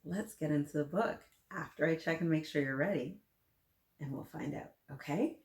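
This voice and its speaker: Female children's story host, slightly low register, read-aloud with chatty, encouraging asides; echoing room recording. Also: normal speed, a little mellow. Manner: encouraging, addresses listeners directly with simple questions. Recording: roomy, echoing room sound.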